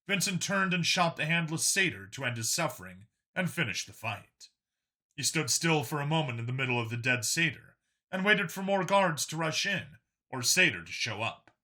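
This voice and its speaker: Male audiobook narrator, very bright forceful tone, husky edge, mid pitch, scripted storytelling.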